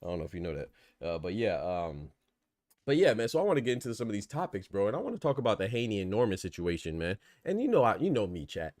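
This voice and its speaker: A man from the United States with a fairly low voice hosts a livestream talk. He speaks colloquially and casually, laying out his opinions to the chat. The sound is dry, with very little room on the voice. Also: big pitch swings, quick pace, a little mellow, dry recording. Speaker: boxing podcast host.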